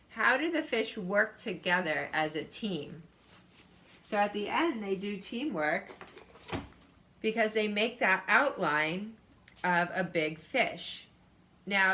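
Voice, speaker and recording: A woman's voice: fairly low pitch, bright, forceful tone. A woman teacher reading a picture book aloud to children and explaining it in an instructive way, addressing them directly.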